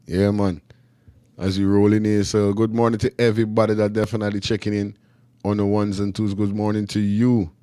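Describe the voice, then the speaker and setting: A man's voice: lowish voice, little pitch movement, a little fast, dark tone, clean tone, no room sound. A man with a Guyanese accent hosting a casual live stream, talking in repetitive, slangy street talk. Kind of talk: casual live chat commentary.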